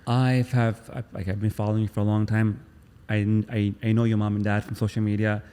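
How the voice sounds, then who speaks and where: Eager low-voiced podcast host, reverberant room. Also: male voice, flat delivery, fairly quick, clean tone. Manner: warm, effusive, repeats himself, eager follow-up questions. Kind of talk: casual interview.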